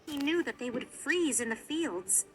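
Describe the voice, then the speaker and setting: A woman reading a children's read-along story in an expressive narrating style. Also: high voice, a little slow, a little hiss or hum. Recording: music behind the voice.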